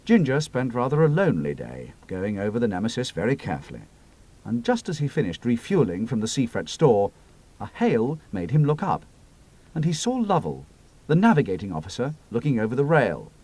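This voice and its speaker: A man narrating an adventure audiobook in a measured storytelling manner. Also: medium pitch, wildly swooping pitch, a little mellow.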